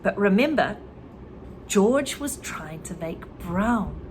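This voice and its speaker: Lively female storyteller-teacher, reads and explains vocabulary, light room noise. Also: medium pitch, slow pace. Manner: lively, explains words to learners. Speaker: reader and English teacher. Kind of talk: children's story read aloud with explanations.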